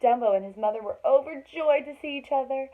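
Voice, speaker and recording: A woman reads a picture book aloud for children, putting dramatic emphasis into the narration. Her voice sits fairly high. The recording is thin and band-limited, like a phone. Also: fairly quick, narrow, tinny audio. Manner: dramatic emphasis for young listeners. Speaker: children's storybook reader. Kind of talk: expressive read-aloud narration with chatty asides.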